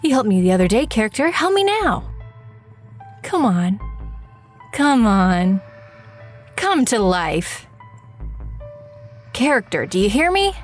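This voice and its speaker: A woman's voice: fairly high pitch, big pitch swings, slow, pauses often, soft background music, heavily acted. A woman playing a weary writer in an audio drama, musing and confiding in the listener with wry, self-mocking asides. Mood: coaxing, pleading.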